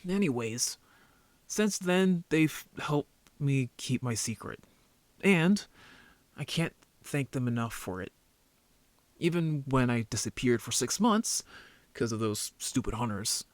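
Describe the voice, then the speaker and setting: A man playing an injured young werewolf, a defensive, easily embarrassed brother who talks casually and haltingly in an audio drama roleplay. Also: medium pitch, expressive, no echo. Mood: earnest, defensive.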